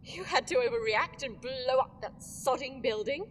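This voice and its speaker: A woman's voice: sits high, moderate pace, slight background noise, close to an everyday voice. A woman playing a scheming, mocking villainous empress in an audio drama. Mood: taunting. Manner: taunting, sing-song, petulant. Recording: reverberant room, faint ambience.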